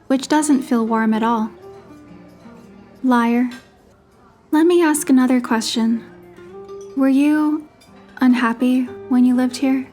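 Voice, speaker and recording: Woman as high-voiced gentle young romantic heroine. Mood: tender, curious. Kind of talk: scripted first-person narration and dialogue. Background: background music with guitar.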